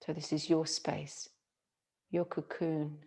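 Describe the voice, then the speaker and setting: A woman yoga teacher guiding a relaxation meditation in a soothing, gentle manner with long pauses. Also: low voice, little pitch movement, breathy edge.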